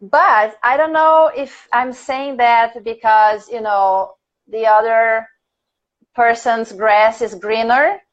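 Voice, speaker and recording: A woman's voice: a little high, takes it slowly, lo-fi sound. Chatty Brazilian woman teaching English. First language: Portuguese. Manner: chatty, laughs often, thinks aloud with fillers. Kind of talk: casual conversation class on a livestream.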